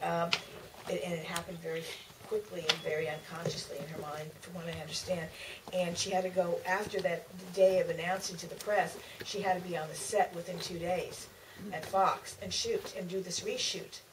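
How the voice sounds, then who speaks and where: A woman speaking off the cuff as an author guest on a panel, often halting and restarting her sentences. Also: fairly low pitch. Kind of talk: spontaneous panel discussion. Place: theater stage at a live Q&A. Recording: distant mic, clearly noisy room sound.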